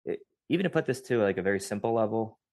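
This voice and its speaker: A man's voice: on the low side, animated, fast talker, creaky, a little mellow, no room sound, clean studio sound. A man co-hosting a podcast, explaining strength training and rehab in a technical, lecturing way. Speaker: podcast co-host, physical therapist. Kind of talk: casual podcast discussion.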